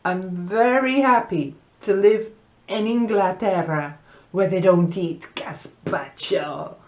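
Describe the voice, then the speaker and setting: A woman's voice: mid-range voice, slow pace, close to an everyday voice. Lady doing a happy little english girl in a storybook, playful, outspoken, kinda exclaiming.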